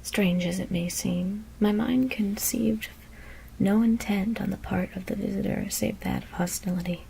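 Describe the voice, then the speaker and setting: A woman whispering a gothic horror story as ASMR narration, hushed and deliberate, with careful pauses. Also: medium pitch, fairly even intonation, creaky, slight background noise, poor audio.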